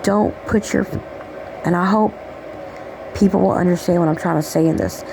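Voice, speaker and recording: A woman with a raspy voice giving a rambling, affectionate spiritual message, checking in with "okay?" as she talks. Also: on the low side, steady tone, moderate pace, background noise. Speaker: spiritual channeler. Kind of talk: casual spiritual message monologue.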